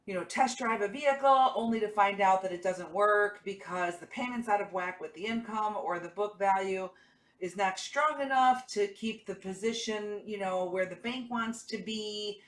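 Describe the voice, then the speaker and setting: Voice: medium pitch, normal speed, a bit bright. A car finance salesperson talking conversationally on a sales call, explaining step by step in a persuasive way and often checking in with the listener. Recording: echoey room, distant from the mic.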